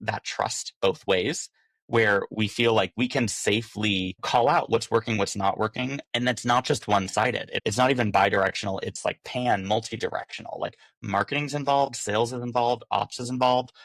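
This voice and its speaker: Low, gravelly male podcast guest. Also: normal speed, fairly bright tone, no echo. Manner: talkative, informal, often voices imagined quotes. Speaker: podcast guest, business operations director. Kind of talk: casual, conversational interview answers.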